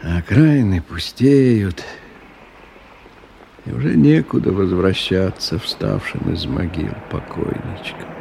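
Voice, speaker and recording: An actor playing a middle-aged businessman in a radio drama, ironic and musing, in an unhurried way. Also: deep voice, expressive, vocal fry, dark tone, slight background noise, exaggerated voice. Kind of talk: radio drama acting, interior monologue.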